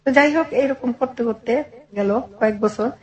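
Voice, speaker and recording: A middle-aged woman with a fairly high voice and a Bangladeshi accent. She recounts her own life to an interviewer in earnest detail, often acting out what was said in reported speech. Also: normal speed, darkish tone, poor audio. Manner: earnest, detailed narration with quoted dialogue. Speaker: interview guest telling her life story. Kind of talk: personal storytelling in conversation.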